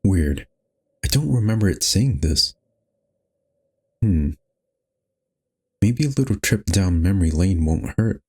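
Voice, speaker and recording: Male game host, deep and husky, reading story text and dialogue aloud. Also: expressive, takes it slowly, pauses often, a little mellow. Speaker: gaming host. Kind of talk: reading visual novel narration and lines aloud.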